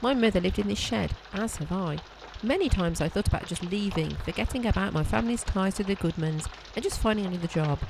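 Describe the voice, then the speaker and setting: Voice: mid-range voice, brisk, creaky, few pauses, background noise, lightly acted. A performer playing a mistreated, bitter, plaintive servant maid in an audio drama.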